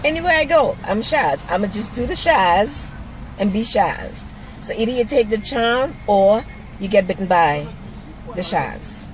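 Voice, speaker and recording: A woman's voice: medium pitch, expressive, a little slow. A middle-aged woman, ranting casually to a handheld camera in a blunt, exasperated, salty-tongued way from inside a car. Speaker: vlogger. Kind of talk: casual first-person rant to camera. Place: inside a parked car by a busy street. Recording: noisy car interior with street traffic.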